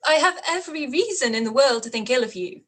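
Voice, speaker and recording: An actress playing a proud, spirited young Regency gentlewoman in a radio play reading, with measured, articulate delivery. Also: high voice, lively intonation. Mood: indignant.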